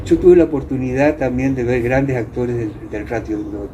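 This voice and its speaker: An older man with an Argentine accent from Entre Ríos, reminiscing in a conversational interview, haltingly searching for words and repeating himself. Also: medium pitch, steady tone, lo-fi sound, background noise. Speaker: interviewee, former radio theatre actor.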